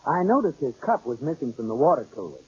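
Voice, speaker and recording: An actor with an American accent playing a smug, brisk husband and bank employee in a 1950s-style radio sitcom. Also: male voice, medium pitch, big pitch swings, very noisy, natural voice. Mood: eager to please. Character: self-satisfied husband and eager bank employee. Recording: dull vintage radio recording.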